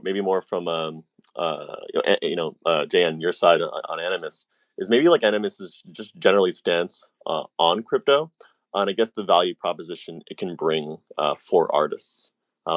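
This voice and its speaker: A man with a notably deep voice hosts a casual question-and-answer session. He feels his way through questions hesitantly and in a roundabout fashion. The sound is very dry, with no room around it. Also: dry recording. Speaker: interviewer, moderator. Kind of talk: casual moderated Q&A.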